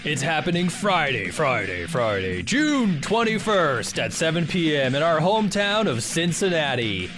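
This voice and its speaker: Man, podcast host, hyped scripted promo, animated intonation, guitar backing. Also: a little high, unhurried, hardly any pauses. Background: plucked guitar music.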